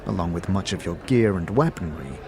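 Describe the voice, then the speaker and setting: A man narrating a fantasy tale in the second person as game master, in an expressive storytelling manner. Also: low-pitched, fairly quick, crowd noise. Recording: music under the voice.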